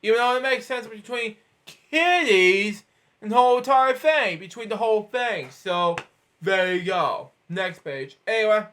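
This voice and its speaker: Man, high voice, slow pace, storybook read-aloud, halting, stumbles over words, rambling asides. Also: fairly bright tone. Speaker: storybook reader.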